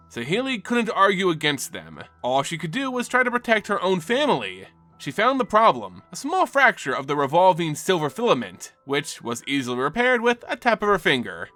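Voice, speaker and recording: A man's voice: on the high side, bright, forceful tone. A man giving a dramatic scripted narration of a fantasy story in a theatrical storytelling manner. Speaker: narrator of a lore channel. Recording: music bed under the voice. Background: background music.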